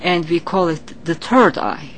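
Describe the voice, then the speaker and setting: A woman with a Turkish accent answers interview questions in a slow, earnest way. Her voice sits a little low, and her English has non-native grammar. Faint noise runs under the recording. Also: animated. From Turkey. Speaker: psychic guest.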